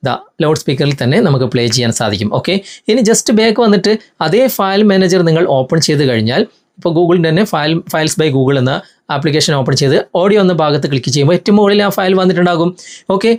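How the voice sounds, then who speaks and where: A man's voice: a little high, lively intonation, quick pace. A man with a Malayali accent hosting a tech tutorial, explaining phone steps in an instructional, step-by-step way straight to viewers.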